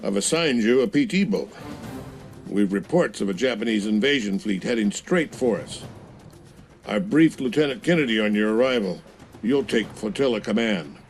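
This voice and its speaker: An actor playing a stern older navy admiral giving clipped orders in a video game. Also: male voice, fairly low pitch, animated, normal speed, faint music behind.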